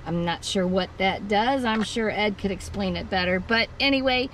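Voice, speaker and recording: A woman's voice: mid-range voice, moderate pace, a little hiss or hum. An older woman chatting casually as she shows off the inside of her small camper trailer, filmed up close. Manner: chatty, relaxed, gesturing as she shows things. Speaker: vlog host.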